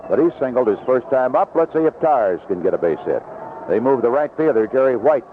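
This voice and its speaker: A man's voice: medium pitch, animated, brisk, narrow, tinny audio, slight background noise. A man calling baseball play-by-play live from a ballpark booth on a 1970s radio broadcast.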